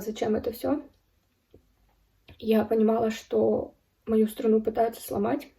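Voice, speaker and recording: A woman in her early twenties from Ukraine giving a serious personal account as a video blogger, speaking in halting, deliberate phrases with pauses. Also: on the high side, vocal fry, mellow, distant mic. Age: early 20s. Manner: serious, solemn. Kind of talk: personal account spoken to camera.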